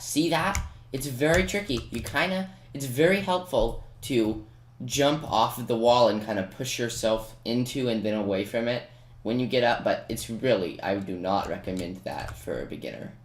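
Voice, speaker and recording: Man giving casual game walkthrough, echoey room. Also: fairly low pitch. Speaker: video creator giving game walkthrough commentary. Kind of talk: casual gameplay tutorial commentary. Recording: roomy echo, faint noise with key clicks. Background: computer keyboard typing and clicks.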